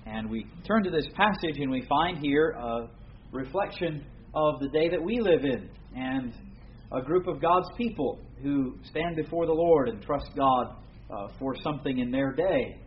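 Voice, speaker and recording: Man from the US, earnest formal preacher, mid-pitched, on a dull lo-fi recording with faint noise. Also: lively intonation. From United States. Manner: earnest, formal, with frequent pauses and fillers. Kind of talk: sermon.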